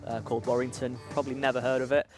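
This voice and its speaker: A young man with a northern English accent talks football as a co-host in an easy, unscripted way. He restarts his sentences and repeats words while he finds his point. Music plays clearly underneath. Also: mid-range voice, little pitch movement, rapid-fire. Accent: northern English (Cheshire). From England. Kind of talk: casual football chat.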